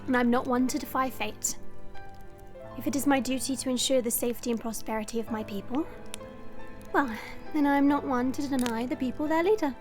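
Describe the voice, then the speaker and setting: A woman's voice: high-pitched, a little fast, a little mellow, faint music behind, natural voice. A woman playing a proud, burdened young dragon princess in an audio roleplay, with formal, regal diction. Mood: solemn, wistful.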